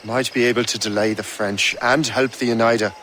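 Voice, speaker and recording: An actor playing a wary, self-reliant fighter in a video game, terse and serious in delivery. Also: male voice, mid-range voice, fairly even intonation, fairly quick, sharp tone, slight background noise, lightly acted. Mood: resolute. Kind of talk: video game dialogue acting.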